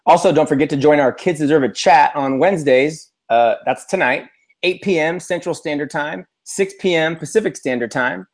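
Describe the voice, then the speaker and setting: American male podcast co-host, slightly high voice, casual chat delivery. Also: moderate pace. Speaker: podcast co-host, school administrator.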